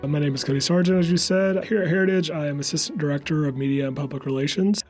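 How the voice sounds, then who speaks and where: A man's voice: mid-range voice, rough recording. Young Southern man, earnest interview talk. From upstate South Carolina. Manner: earnest, eager, occasional stammered fillers. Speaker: guest, think tank media staffer. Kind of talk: conversational interview.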